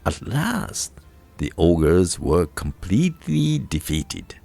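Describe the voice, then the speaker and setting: A man with a Japanese accent narrating a folk tale from a script, in a deliberate, expressive storybook manner with dramatic emphasis. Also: low-pitched, gravelly, soft background music. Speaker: storyteller, radio voice crew narrator.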